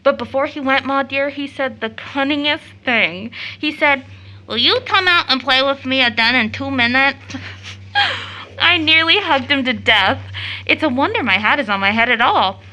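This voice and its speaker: A girlish young American daughter in a period radio play. Her voice is high and brightly forward, and she gushes and teases with impulsive affection and theatrical phrasing. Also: lively intonation, normal speed, poor audio. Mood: excited, teasing. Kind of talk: stage-style period audio drama acting.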